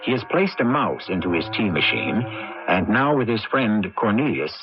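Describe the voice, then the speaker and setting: An actor as the grave host of a mystery radio drama, narrating in a portentous, deliberate way. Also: male voice, on the low side, sing-song, dull audio. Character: grave, philosophical male mystery show host. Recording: band-limited old radio broadcast. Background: music.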